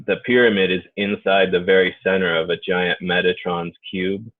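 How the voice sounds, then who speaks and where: A man leading an unscripted guided group meditation in an informal, halting way. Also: deep voice. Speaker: guided meditation leader and healer. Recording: muffled phone-like narrow-band audio.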